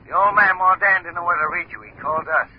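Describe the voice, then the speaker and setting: An actor playing an offhand, teasing burglary sergeant, brisk and blunt, in a 1950s radio drama. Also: male voice, sits high, a little hiss or hum, close to an everyday voice. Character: wisecracking, offhand male police burglary sergeant. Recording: tinny phone call on old radio.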